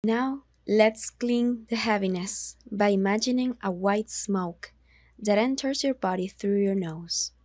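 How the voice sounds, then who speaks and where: Female meditation narrator, mid-pitched, very measured and soothing, slow delivery with room to breathe. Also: darkish tone, dry recording, studio quality. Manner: soothing, slow, measured instructions with long pauses. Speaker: meditation guide.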